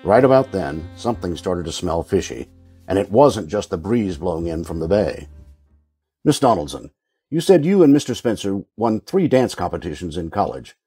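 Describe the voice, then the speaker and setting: A man acting a shrewd, world-weary detective in an old-time radio drama, deadpan and wry in delivery. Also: low voice, moderate pace, breathy edge, dry recording, soft background music, full character voice. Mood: wry, probing.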